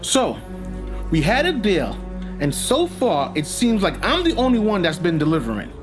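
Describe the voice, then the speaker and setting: A man's voice: high-pitched, huge pitch swings, moderate pace, over music. An actor playing a disgruntled, patronizing male police captain in an audio drama, needling and sardonic. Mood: stern, aggrieved.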